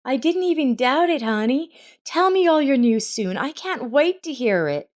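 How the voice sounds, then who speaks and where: A woman voicing a gentle, affectionate stepmother in a narrated story. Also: high voice, very animated, moderate pace, pitched above the performer's own voice. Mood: warm, pleased. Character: gentle, affectionate young stepmother, caring and tender.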